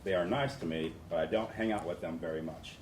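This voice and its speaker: A man's voice: deep voice, brisk. A man taking part in a training, reading a first-person character profile aloud to the group. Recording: roomy, distant mic, faint noise.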